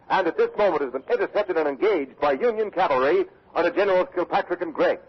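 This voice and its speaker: An actor playing a brisk radio correspondent, formal in his reporting and dry in his questions, in 1940s drama style. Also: on the high side, husky. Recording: muffled old 1940s radio recording.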